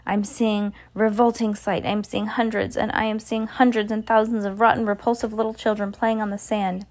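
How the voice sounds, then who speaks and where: A woman's voice: mid-range voice, little pitch movement, a little mellow, voice pushed up, natural voice. A woman voicing a tyrannical witch villain in a storybook, ranting imperiously and spitting out contempt. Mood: furious, screaming. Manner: imperious, ranting, spitting out insults in drawn-out emphasis. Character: tyrannical, furious Grand High Witch, screaming, contemptuous villain.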